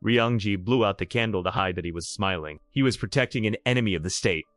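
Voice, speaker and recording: A man's voice: on the low side, quick pace, dry recording, clean studio sound, soft background music. A man narrating a scripted romantic drama in a theatrical, emphatic storytelling style.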